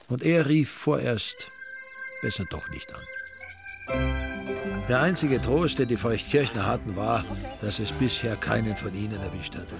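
A low-voiced Austrian man narrates a radio crime play with dry wit. His voice has a marked creak, and music plays behind the scripted narration.

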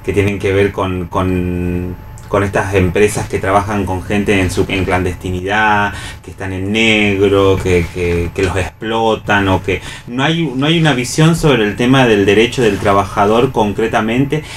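Low-voiced Argentine man, halting and reflective. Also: a little hiss or hum. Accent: Argentine Spanish. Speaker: radio show panelist. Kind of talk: casual round-table theatre discussion.

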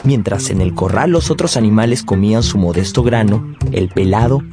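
A man acting as a grown son who narrates his family's story in measured, literary radio style. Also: lowish voice, lively intonation, lightly acted. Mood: storytelling. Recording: music under narration.

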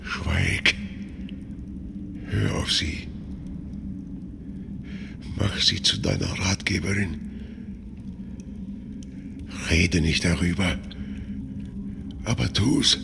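Dying old king in a radio play, super deep n slow, weak gravelly voice, still bossing his son. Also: very animated, noisy background, heavily acted. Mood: weary, commanding. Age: older. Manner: halting, commanding. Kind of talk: German radio drama acting.

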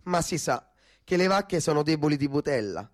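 An actor playing a sardonic working-class Roman storyteller, narrating theatrically with a Roman accent. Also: male voice, a little high, lively intonation, normal speed, lightly acted. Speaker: stage actor-narrator in a radio theatre piece.

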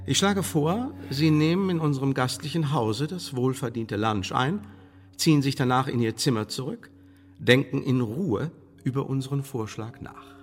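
An actor playing a coolly menacing English intelligence official in a radio play, speaking in formal, measured, condescending bureaucratic phrases. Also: male voice, medium pitch, close to an everyday voice. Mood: quietly menacing. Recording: studio radio play with long reverb.

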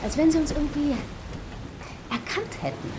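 A defiant, callous woman who has survived an apocalypse. Her fairly high voice is cynical and matter-of-fact. It is a radio horror drama, with loud outdoor ambience around her. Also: lively intonation, moderate pace, very noisy. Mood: cold, defensive.

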